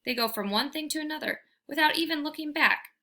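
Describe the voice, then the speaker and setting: Woman as an irritable, scoffing golden retriever, bright voice. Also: high voice, fairly quick, pitched above the performer's own voice. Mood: bitter.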